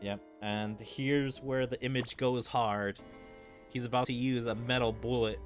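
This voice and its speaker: A man's voice: mid-range voice, fairly even intonation, unhurried, soft background music. A man streaming a game and giving casual commentary in rambling, hesitant explanations peppered with filler words. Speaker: gaming streamer.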